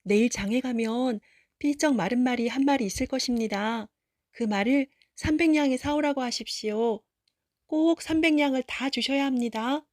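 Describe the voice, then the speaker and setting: A woman's voice: high voice, moderate pace, darkish tone, dry recording, clean studio sound, voice pushed up, natural voice. A woman voicing a wise, stern young wife in a storybook tale, with formal, authoritative speech. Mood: calm, insistent.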